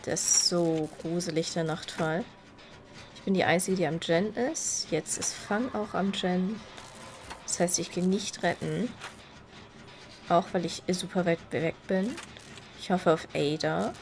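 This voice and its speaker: A woman's voice: on the low side, a little slow, background noise. A woman with a stuffy, slightly nasal voice from a cold, chatting casually while she plays and explains game mechanics. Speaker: gaming video creator. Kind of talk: casual gameplay commentary.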